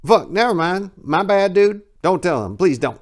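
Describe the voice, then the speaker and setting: Man acting out a menacing street pimp, pitched up. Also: lively intonation, normal speed. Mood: panicked, pleading. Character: violent male pimp, menacing then cowed and pleading.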